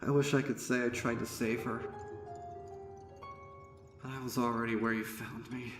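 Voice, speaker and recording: A man voicing a young female pony student, haunted and guilt-ridden, recounting horror in a dramatic, deliberate way. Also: medium pitch, level tone, voice pushed down, close to an everyday voice. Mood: grieving. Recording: echoey, distant, music behind.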